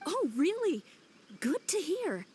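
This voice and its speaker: An actress playing an eerie young shrine maiden who relishes scares in a video game dub, theatrical, drawn-out and playful, with faint ambience behind her. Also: very high voice, sing-song, darkish tone, a little hiss or hum, heavily acted. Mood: teasing, ominous.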